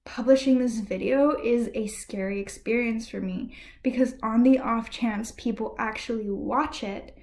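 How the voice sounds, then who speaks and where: Woman vlogger, on the higher side, earnest and ranty, talking to camera from a room at home. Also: measured pace. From United States. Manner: ranty and earnest, with soft breathy asides. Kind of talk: impassioned personal commentary to camera.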